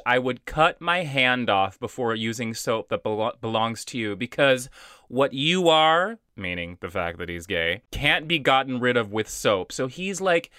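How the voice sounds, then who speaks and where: A man co-hosts a casual podcast, retelling a movie scene by scene and acting out quoted lines. His voice is bright and forward. The sound is dry and close to the mic. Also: medium pitch, smooth, no room sound. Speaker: podcast co-host. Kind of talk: casual chat recapping a movie.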